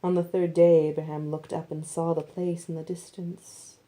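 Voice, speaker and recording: A woman reads scripture aloud in an expressive storytelling style. Her voice sits a little low. The tone is soft-edged, dark and mellow. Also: normal speed, low-quality recording. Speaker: reader of scripture narrative.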